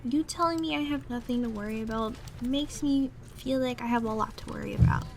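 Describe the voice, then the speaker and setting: Woman acting as a sulky, creaky-voiced jealous gamer girlfriend. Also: on the high side, a little fast, close to an everyday voice. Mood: exasperated. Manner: pouty, grumbling, irritated. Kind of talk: roleplay audio, half-improvised casual talk. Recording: noisy room, clattering computer keyboard. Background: loud keyboard typing and background noise.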